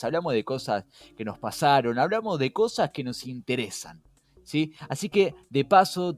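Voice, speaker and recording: A young man with a Rioplatense Spanish accent, co-hosting a conversational podcast in an emphatic way, putting questions straight to the listener. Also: medium pitch. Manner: emphatic, rhetorical questioning, addresses listener directly. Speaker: podcast co-host. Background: background music.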